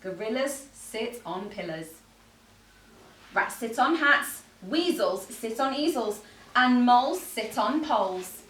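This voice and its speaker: Woman voicing a bossy storybook cat, drawn-out and insistent. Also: a little high, animated, fairly bright tone, echoey room, recorded from a distance, a little hiss or hum, voice pushed up. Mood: smug, exasperated. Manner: emphatic, insistent, drawn-out delivery. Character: bossy, know-it-all cat laying down rules. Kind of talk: children's picture book read-aloud.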